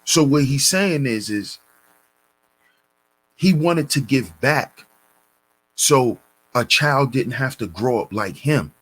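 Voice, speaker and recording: A man hosting a commentary channel, reading a news story and lecturing on it in a deliberate, preachy way, calling his listeners brothers. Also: medium pitch, expressive, a bit bright, husky, rough recording.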